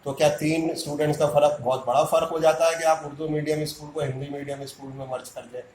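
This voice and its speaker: A man's voice: fairly high pitch, level tone, fast, a little mellow, echoey room, a little hiss or hum, poor audio. A man makes an indignant public statement as a community activist, arguing hard and firing off rhetorical questions.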